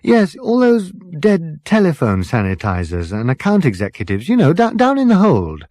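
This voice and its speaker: A man's voice: fairly high pitch, wildly swooping pitch, moderate pace, darkish tone, no room sound, pitched above the performer's own voice. A man voicing a courteous, tentative, puzzled male traveller in a comic science fiction audiobook. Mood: curious, tentative. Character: polite, curious male traveller, tactfully puzzled.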